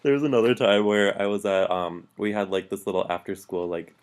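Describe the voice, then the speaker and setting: A man's voice: lowish voice, fairly quick, clean tone. A man co-hosting a podcast, telling stories from his school days in a casual, chatty way with lots of "like"s. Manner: chatty, filler-heavy storytelling with many "like"s. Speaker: podcast co-host. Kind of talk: casual storytelling chat.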